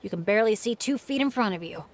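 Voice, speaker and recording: A woman's voice: medium pitch, animated, fast, natural voice. A woman voicing a cheerful, modest teenage boy adventurer in a video game, with an easygoing manner. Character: upbeat teenage boy adventurer, humble and unlucky. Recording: game audio with soft music behind.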